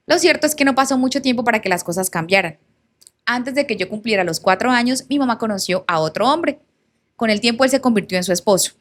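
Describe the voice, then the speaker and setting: Conversational woman telling a storytime. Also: mid-range voice. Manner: conversational, with asides to viewers. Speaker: content creator reading followers' stories. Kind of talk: storytime narration, reading a follower's first-person story.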